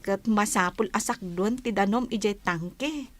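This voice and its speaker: A woman with a Filipino accent playing a plucky, sharp-tongued young country woman in a comedy drama. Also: medium pitch, unhurried, no room sound, natural voice. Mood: friendly.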